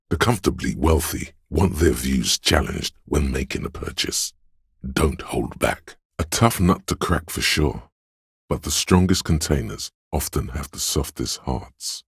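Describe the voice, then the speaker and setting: A very deep, heavily rasping inner voice from a narrative video game. It coaxes and advises with measured, deliberate drama, intimate and insinuating, in a dry, close sound. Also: male voice, no echo, heavily acted. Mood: knowing, coaxing. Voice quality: deep, gravelly, husky. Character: smooth, insinuating inner voice of social intuition, coaxing, intimate. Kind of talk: scripted game narration as an inner voice giving social advice.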